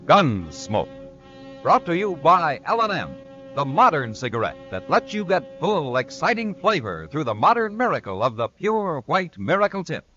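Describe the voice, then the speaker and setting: A man's voice: fairly high pitch, very animated, moderate pace, pushed and bright. A male announcer delivering dramatic introductions and ad reads in the style of 1950s radio. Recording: dull old radio recording, music behind.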